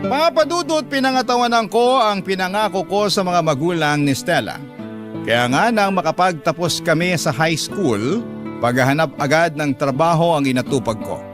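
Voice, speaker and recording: Actor as higher-voiced reflective young Filipino storyteller. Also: male voice, expressive, normal speed, over music, close to an everyday voice. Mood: reflective, regretful. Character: earnest young father recounting his hardships. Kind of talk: first-person radio drama narration.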